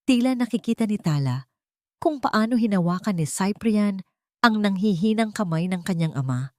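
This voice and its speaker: A woman's voice: medium pitch, animated, moderate pace, no echo, clean studio sound. A woman narrating a scripted dramatic story as a storyteller.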